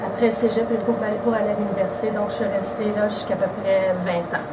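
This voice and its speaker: A woman in her forties from Quebec with a Québécois French accent, answering interview questions in a relaxed, casual way. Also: medium pitch, quick pace. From Quebec, Canada. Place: indoors by a brick wall. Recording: distant camera mic with background hiss.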